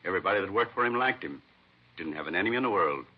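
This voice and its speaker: An actor playing a fussy, self-satisfied nightclub owner, chatty and offhand, in a 1950s radio crime drama. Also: male voice, low-pitched, animated, very fast, husky. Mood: solemn. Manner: chatty, offhand, breaking off into kitchen asides. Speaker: club owner being questioned. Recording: muffled, noisy vintage radio recording.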